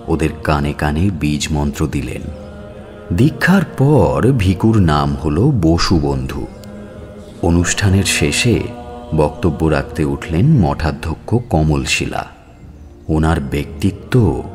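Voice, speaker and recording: A man with a very deep voice narrates an audio story. His delivery is measured and slow, yet his pitch moves expressively through the telling, over soft music.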